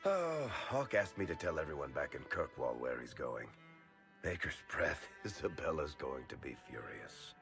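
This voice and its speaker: A gravelly, wry dwarf. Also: male voice, rumbling low, sing-song, normal speed, soft background music, full character voice. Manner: wry, sardonic quips. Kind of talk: video game cutscene dialogue.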